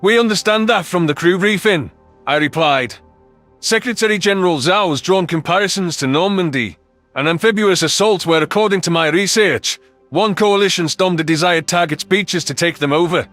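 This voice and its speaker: A man's voice: sits high, normal speed, fairly bright tone, faint music behind. A man playing a thoughtful alien soldier who narrates his own story in a scripted sci-fi audio drama. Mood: reflective, explanatory.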